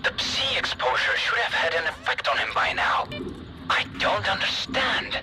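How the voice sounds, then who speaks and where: A bitter, ambitious game scientist with a high-pitched, theatrical voice. He rants grandly and swoops up and down, over noise and music. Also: big pitch swings, normal speed. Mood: brooding, scheming. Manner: theatrical, ranting. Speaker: scientist character. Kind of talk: video game cutscene acting.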